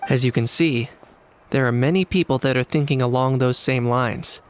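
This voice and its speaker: A man's voice: mid-range voice, close to an everyday voice. Man acting a composed, dryly blunt advisor. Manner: measured, formal. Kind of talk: video game character acting.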